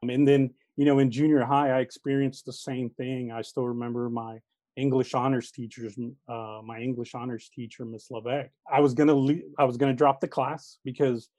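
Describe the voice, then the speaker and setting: Earnest man, warm dark voice. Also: mid-range voice, flat delivery, fairly quick, dry recording, lo-fi sound. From Texas, United States. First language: Spanish. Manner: earnest and reflective, many fillers like "uh" and "you know". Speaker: elementary school teacher, interview guest. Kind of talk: conversational interview answers.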